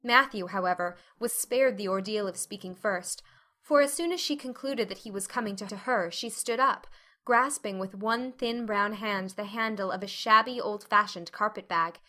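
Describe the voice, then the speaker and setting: Female audiobook narrator, mid-pitched and bright, for dramatic reading of classic fiction. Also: normal speed. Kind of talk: scripted dramatic storybook narration.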